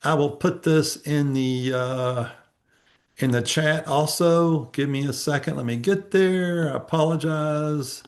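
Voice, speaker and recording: A man's voice: fairly high pitch, slow pace. A man hosting a casual networking video call, upbeat and persuasive with lots of fillers.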